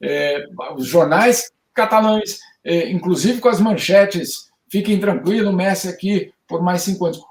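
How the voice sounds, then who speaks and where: A man co-hosts over a video call, with a high voice for a man and a hollow, echoey room around him. He speaks haltingly, filling his gaps with drawn-out sounds while he lines up his questions. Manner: hesitant, full of filler sounds, curious questioner. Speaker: co-host columnist. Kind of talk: casual panel discussion.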